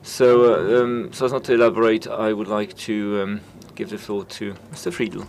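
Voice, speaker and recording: A man giving a simultaneous interpretation of conference talks, halting and full of filler sounds and restarts. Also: lowish voice, flat delivery, gravelly, darkish tone, slight background noise.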